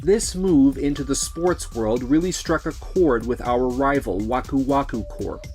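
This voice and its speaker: A man plays a courteous businessman in a manga dub. His voice is mid-pitched and he speaks earnestly over background music. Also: unhurried, a little mellow, lightly acted. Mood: grave, concerned. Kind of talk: manga dub character acting.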